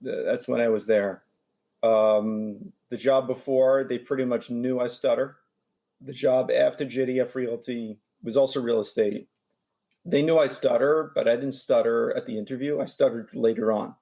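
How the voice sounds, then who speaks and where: A man in his forties who stutters, repeating words and restarting lines as he speaks. His voice sits at an ordinary middle pitch for a man. He talks as a guest in a casual interview. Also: fairly even intonation, moderate pace, dark tone, lo-fi sound. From New York, USA. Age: 40s.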